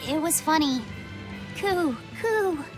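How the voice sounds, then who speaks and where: A woman voicing a gentle, studious young boy in dubbed video game dialogue, earnest in manner. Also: high-pitched, expressive, takes it slowly, over music. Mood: shy, amused.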